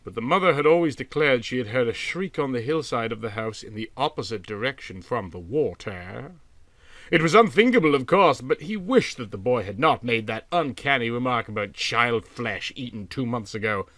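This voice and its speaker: A man narrating a literary story from a script in a dramatic, expressive storytelling manner. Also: medium pitch, moderate pace, dry recording. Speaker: audiobook narrator.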